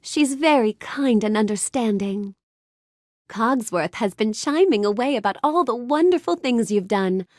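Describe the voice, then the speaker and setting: A woman playing a sweet, curious, bookish young fairy-tale heroine in a video game, speaking with gentle, courteous wonder. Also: fairly high pitch, a little slow, no room sound, clean studio sound. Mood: warm, encouraging. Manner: gentle, wonder-filled, courteous, expressive delivery. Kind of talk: animated game character dialogue.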